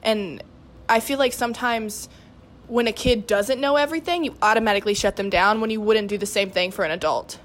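A woman's voice: medium pitch, brisk, a little hiss or hum. A young woman in her late teens speaking casually and candidly as a guest, earnest, with lots of "like" in her sentences. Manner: earnest, heavy use of "like". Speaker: student cast member, podcast guest.

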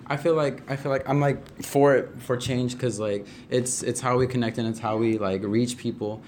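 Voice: medium pitch, fairly even intonation, moderate pace, darkish tone, slight background noise. A teenager speaking earnestly in a casual discussion, searching for words along the way. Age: teens. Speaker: young cast member of a play.

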